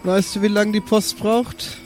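A man's voice: high-pitched, flat delivery, a little slow. A man chatting casually and jokingly as a player in a tabletop role-playing game. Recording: clear background noise.

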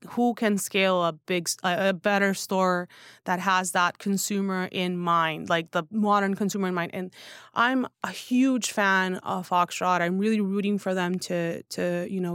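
Clear-voiced woman, emphatic and conversational. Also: mid-range voice, fairly even intonation, a little slow, no echo, clean studio sound. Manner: opinionated, emphatic, frequent "like" and "you know". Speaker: guest, newsletter writer and founder. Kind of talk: casual conversational interview. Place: studio.

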